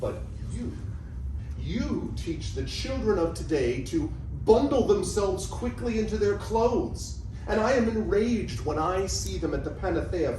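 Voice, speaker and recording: A man's voice: high-pitched, warm tone. A man reading the part of a stern, moralizing old-fashioned teacher in a staged reading of ancient comedy, with a preachy, declamatory delivery. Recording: noisy, echoey room, distant mic.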